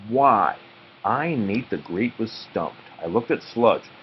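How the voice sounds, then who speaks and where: A man narrating a children's story aloud expressively and deliberately, with dramatic pauses. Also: fairly low pitch, expressive. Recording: muffled audio with faint background noise.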